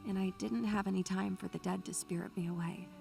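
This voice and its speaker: A woman's voice: medium pitch, steady tone, brisk, creaky, loud music behind the voice. A woman playing a bookish, anxious young student who narrates her memories in a literary, atmospheric style. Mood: brooding, wistful. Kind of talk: atmospheric first-person scripted narration.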